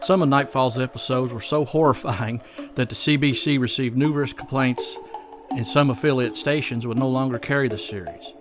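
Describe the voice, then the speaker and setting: A man hosting a podcast, reading scripted introductions and promotional copy. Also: mid-range voice. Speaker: podcast host. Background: faint music.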